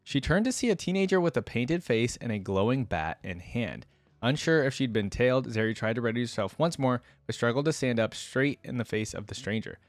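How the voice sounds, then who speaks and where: A man's voice: mid-range voice, lively intonation, fairly quick, no echo. A man hosting a reaction video, reading and commenting casually as an informal, enthusiastic fan.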